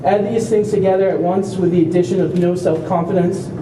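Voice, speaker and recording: A young man giving an earnest, heartfelt speech at a community walk, slightly halting as he speaks. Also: high-pitched, steady tone. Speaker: family member speaker at an awareness walk. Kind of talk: prepared speech to a crowd. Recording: echoey, distant, noisy event recording.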